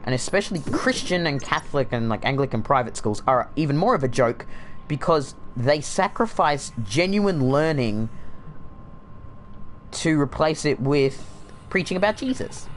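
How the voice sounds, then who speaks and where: A man's voice: fairly high pitch, expressive, normal speed, a little hiss or hum. A young man with a laid-back Australian accent, chatting casually and sardonically while streaming a game, with lots of fillers. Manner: rambling, sardonic, frequent fillers. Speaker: gaming streamer.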